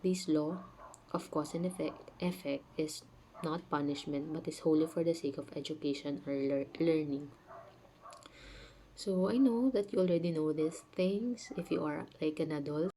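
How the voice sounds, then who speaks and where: A woman with a low voice and a soft, dark, mellow tone. She is sick and talks in a chatty way with many fillers, explaining ideas to her viewers. Faint room noise is heard behind her. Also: moderate pace, low-quality recording. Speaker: channel host. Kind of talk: casual spiritual talk to camera. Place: home, dogs nearby.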